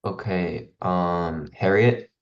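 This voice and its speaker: A man's voice: low-pitched, fairly even intonation, drawn-out words, lo-fi sound. A man organizing an online class, calling on participants one by one in a brief, matter-of-fact way. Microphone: video call.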